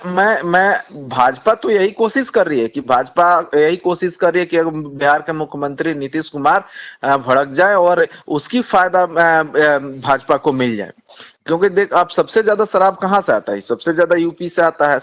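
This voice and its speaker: A man with a Bihari accent speaking as a politician in a phone interview, insistent, repeating himself and halting as he argues. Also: fairly high pitch, fairly bright tone. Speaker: communist party politician. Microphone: phone call. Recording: dull lo-fi phone call recording.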